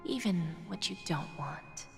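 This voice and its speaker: A coolly menacing woman executive in a game drama. She speaks slowly and silkily, with breathy condescension, as if every word were a verdict. Her voice rings in a long hall reverb over clear music. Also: fairly low pitch. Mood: commanding, condescending. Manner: deliberate, silky, condescending, breathy murmurs.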